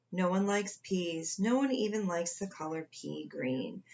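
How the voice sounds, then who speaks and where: A woman's voice: fairly low pitch, creaky, full character voice. Lady doing a pompous grand little crayon from a picture book, muffled audio. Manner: pompous, self-important. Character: pompous pea green crayon, grand self-styled adventurer.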